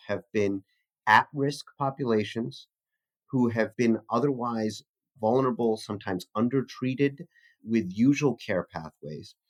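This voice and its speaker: A man answering as a physician researcher in a formal interview, measured and articulate with academic wording. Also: mid-range voice, poor audio.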